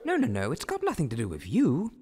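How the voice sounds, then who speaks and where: A man voicing a polite, conflicted young man in a cartoon parody, speaking formally and haltingly. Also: on the high side, huge pitch swings, dark tone, slightly rough, heavily acted. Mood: apologetic.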